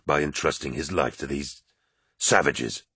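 A man's voice: rumbling low, measured pace, pushed and bright, husky, no echo, clean studio sound, pitched below the performer's own voice, full character voice. A man voicing a stern, indignant warrior in an audiobook, distrustful and challenging in manner. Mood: scornful. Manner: challenging, scornful questioning.

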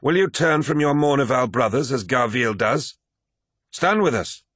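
A man voicing a forceful, accusing warrior captain in an audiobook, declamatory and challenging. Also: mid-range voice, lively intonation, moderate pace, breathy edge, no echo, clean studio sound, pitched above the performer's own voice.

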